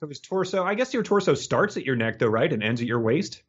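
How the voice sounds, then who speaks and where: A man's voice: medium pitch, big pitch swings, quick pace, breathy edge, muffled recording. A man co-hosting a podcast in casual comedic chat, riffing and often laughing as he talks. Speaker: podcast co-host.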